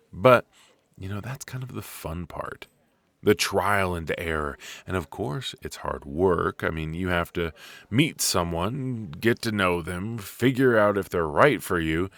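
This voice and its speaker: A low-voiced, sociable man played by a male voice actor chats in an ASMR coffee shop roleplay. He takes his time, reassuring and friendly, leaving gaps for an unheard partner. The sound is close and dry. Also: a bit bright, no room sound, full character voice. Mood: earnest, reassuring. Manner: conversational, reassuring, with pauses for an unheard listener. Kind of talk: ASMR roleplay, casual one-sided conversation.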